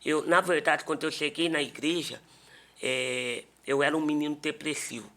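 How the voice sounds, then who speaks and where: Male pastor, Brazilian accent, slightly high bright voice, relaxed podcast storytelling. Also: moderate pace. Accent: Brazilian Portuguese.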